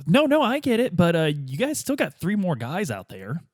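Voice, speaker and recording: A man with a slightly high voice hosts a roleplay podcast and plays along. His talk is casual, chatty and stop-start, recorded dry and close. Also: wildly swooping pitch, normal speed, no echo. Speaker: podcast host and player.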